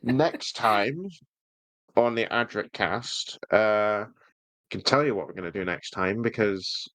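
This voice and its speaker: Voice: mid-range voice, slow pace, a bit bright, dry recording, low-quality recording. Playful podcast co-host, dry close sound. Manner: playful, jokey banter. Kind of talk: casual chat review.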